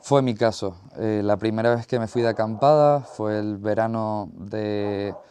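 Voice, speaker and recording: A man with a Canarian Spanish accent giving a reflective solo podcast monologue in a measured, thoughtful way, pausing mid-phrase. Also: on the low side, warm tone, a little hiss or hum. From Tenerife, Canary Islands, Spain. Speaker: podcast host.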